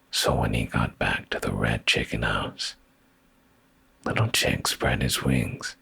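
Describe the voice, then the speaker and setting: Male ASMR bedtime reader, extremely low and breathy, slow, hushed, soothing delivery, very close and dry. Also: dry recording. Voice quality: deep, gravelly, breathy. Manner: hushed, soothing, often slipping into whispers. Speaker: ASMR streamer reading bedtime stories. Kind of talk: intimate boyfriend ASMR bedtime reading. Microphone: close microphone.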